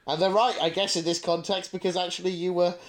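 A man's voice: high-pitched, level tone, quick pace. A British man co-hosting a podcast, chatting casually in a wry, mock-serious way. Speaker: podcast co-host.

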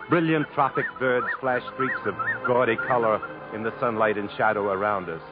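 A resolute, earnest adventurer acted by a man in an old radio play. The voice sits fairly low and sounds commanding and clipped. The muffled broadcast carries prominent dramatic music. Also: lightly acted. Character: resolute adventurer hero fleeing through jungle, also narrating. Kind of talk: 1950s radio drama acting and dramatic narration.

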